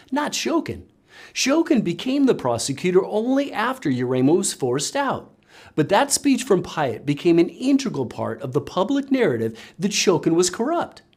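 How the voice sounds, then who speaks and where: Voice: medium pitch, a little mellow. A narrator reading scripted political commentary with pointed, sardonic emphasis.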